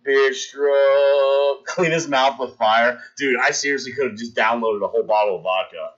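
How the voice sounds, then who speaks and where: A man in his thirties tells a story to his live stream chat with lots of energy, acting out each scene as he goes. His pitch stays in a middle register. The audio is a little dull, like a basic stream mic. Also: moderate pace. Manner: animated, acts out scenes, talks to chat.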